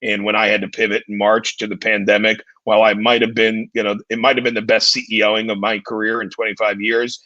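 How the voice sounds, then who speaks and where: A man in his forties with a deep, distinguished voice, talking as a guest in a casual interview and often repeating words as he starts his sentences. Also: little pitch movement, a little fast, hardly any pauses, pushed and bright, dry recording. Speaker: guest, entertainment company CEO. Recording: dull lo-fi dry sound.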